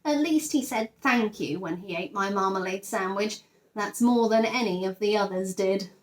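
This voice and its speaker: A woman's voice: mid-range voice, normal speed, close to an everyday voice, low-quality recording. A woman reading the part of a small, polite, earnest male bear in a storybook. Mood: matter-of-fact. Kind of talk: storybook read-aloud dialogue.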